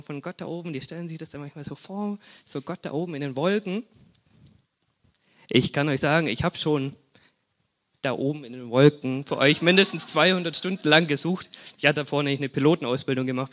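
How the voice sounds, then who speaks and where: A young man with a Swabian accent preaching in a church hall, talking in a relaxed, chatty way with many fillers and restarts. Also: on the high side. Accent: Swabian German. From Swabia, Germany. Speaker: guest preacher. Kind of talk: casual sermon with personal stories.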